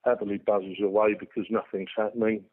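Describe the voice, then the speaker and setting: A man giving a spontaneous interview explanation, hesitant and halting with many filled pauses, over a tinny phone-like line. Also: lowish voice, flat delivery. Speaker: radio enthusiast interviewee.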